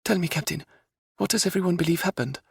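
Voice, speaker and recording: A man's voice: sits high, quick pace, vocal fry, breathy edge, no echo, clean studio sound, pitched above the performer's own voice, full character voice. A man voicing a young princess who speaks with urgent, proud insistence.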